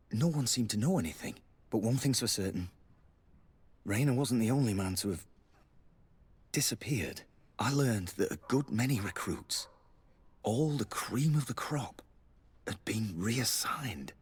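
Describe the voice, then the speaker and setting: A husky, guarded army lieutenant. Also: male voice, fairly low pitch, animated, exaggerated voice. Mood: grave, confiding. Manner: grave, secretive, measured. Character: guarded, wary male military lieutenant instructor. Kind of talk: video game character acting.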